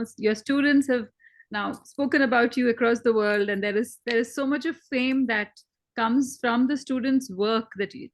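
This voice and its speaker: A woman hosts an interview, her mother tongue Tamil, attentive and asking questions that stop and restart as she shapes them. Her voice is a little high, and she adds short affirmations while she listens. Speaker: interviewer, host. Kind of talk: conversational interview.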